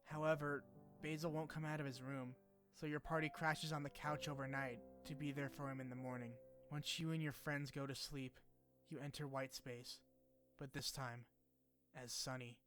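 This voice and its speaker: A man delivers scripted narration in the manner of a video essay on a game's story. His voice sits at a middling pitch and stays very even, with little melodic movement. Music plays clearly behind him. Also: normal speed.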